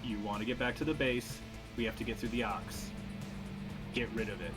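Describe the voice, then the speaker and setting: A man's voice: lowish voice, steady tone, moderate pace, loud music behind the voice, close to an everyday voice. A man playing a decisive military captain who barks short orders in a tabletop game. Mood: curt, resolute. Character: commanding male military squad captain, curt and decisive.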